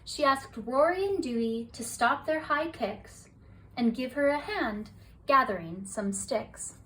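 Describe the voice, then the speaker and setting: A woman reading a children's bedtime story aloud in a soft, rhythmic rhyming delivery. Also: high-pitched, animated, unhurried. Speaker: storybook reader. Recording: distant mic, faint hiss.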